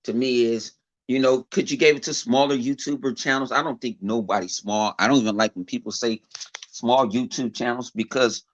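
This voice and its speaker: A man's voice: mid-range voice, steady tone, moderate pace. A man with a big voice, ranting in a casual vlog, profane and full of fillers and repeated words. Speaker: gaming YouTuber. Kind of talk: casual off-the-cuff vlog rant. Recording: dull lo-fi recording.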